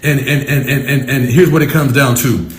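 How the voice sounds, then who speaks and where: A man giving off-the-cuff opinion commentary, emphatic and halting, often repeating his phrases for effect. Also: medium pitch, steady tone. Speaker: commentator on a talk channel. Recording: roomy, echoing sound.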